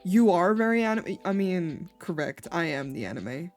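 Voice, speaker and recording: A woman's voice: fairly low pitch, very animated, normal speed, darkish tone, soft background music. A woman streamer chatting casually with her viewers, a little congested, giggling often. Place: home.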